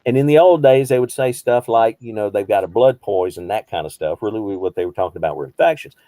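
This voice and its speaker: A man's voice: fairly low pitch, expressive, fast, dark tone, dry recording. A man in his fifties, a behavioral health counselor, teaching a small group conversationally, posing rhetorical questions and repeating phrases for emphasis. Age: 50s.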